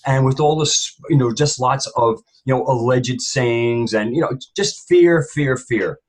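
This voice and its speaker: A man's voice: medium pitch, unhurried. A man speaking as a panelist in an opinionated discussion, rambling and emphatic, often repeating phrases for weight.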